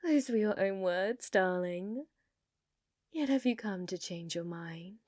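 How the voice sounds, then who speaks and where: A woman's voice: medium pitch, slow pace, a little mellow, dry recording, clean studio sound. A woman voicing an ancient, possessive female yokai in an intimate ASMR roleplay, coyly sweet yet menacing, with a teasing manner that often drops toward a whisper. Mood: probing, wary.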